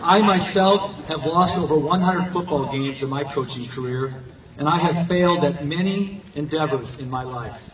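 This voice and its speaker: An older man giving a prepared graduation speech as a coach and teacher, speaking earnestly in measured, declarative phrases. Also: on the high side, a bit bright, a little hiss or hum. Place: outdoor football stadium, recorded from the stands. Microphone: podium microphone over PA, captured by distant handheld camera. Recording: echoey stadium PA heard from far off.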